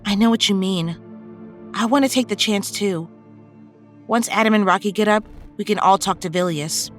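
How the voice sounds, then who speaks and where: A woman's voice: medium pitch, normal speed, faint music behind, close to an everyday voice. A woman acting an earnest, idealistic young superhero in an audio drama, reflective and sincere. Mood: subdued.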